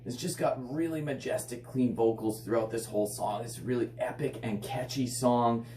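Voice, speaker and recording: Hoarse man raving about an album. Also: mid-range voice, normal speed, warm tone. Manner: enthusiastic, filler-heavy rambling with frequent "like". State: hoarse and raspy from illness. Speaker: metal album reviewer. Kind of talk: casual spoken review. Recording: echoey room, slightly distant mic, faint hum.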